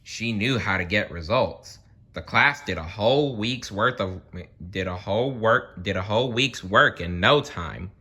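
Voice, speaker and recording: Slow, expressive storybook reader. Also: low voice, husky. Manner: deliberate, dramatic pauses. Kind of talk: expressive children's read-aloud narration.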